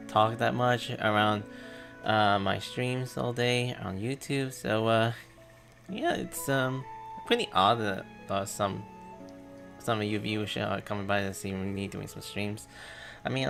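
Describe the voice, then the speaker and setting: Hesitant low-voiced man with slight creak. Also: a bit bright. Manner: hesitant, rambling, self-effacing. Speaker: game livestreamer. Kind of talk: casual livestream chat to viewers. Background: game music.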